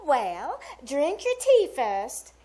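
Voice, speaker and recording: Woman playing high, slow-talking coquettish lady. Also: huge pitch swings, mellow, exaggerated voice. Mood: coy. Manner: coy, giggly. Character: flirtatious, coquettish genteel lady, teasing her suitor. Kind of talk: live old-time radio comedy acting.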